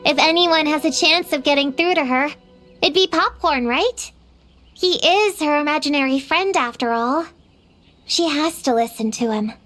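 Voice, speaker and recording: A woman's voice: sits high, moderate pace, a bit bright, faint music behind. A woman voicing an earnest, encouraging young girl in a video game. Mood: hopeful.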